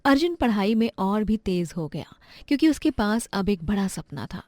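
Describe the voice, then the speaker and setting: A woman's voice: mid-range voice, big pitch swings, moderate pace, darkish tone, dry recording. A woman delivering scripted narration for a moral bedtime story.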